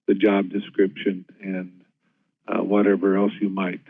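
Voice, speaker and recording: Low flat-voiced man giving a steady conference talk, lots of uh's, dry tinny phone sound. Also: dry recording. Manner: steady and measured, frequent "uh" fillers. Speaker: health management CEO. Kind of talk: conference presentation. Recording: tinny phone-line audio.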